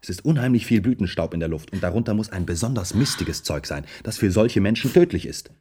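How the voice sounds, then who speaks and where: An actor playing a blunt, exasperated man giving an urgent report in a radio drama, his voice husky. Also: fairly low pitch, expressive, fast talker, warm tone, a little hiss or hum, lightly acted. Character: exasperated man reporting deadly pollen drought. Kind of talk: German radio drama acting.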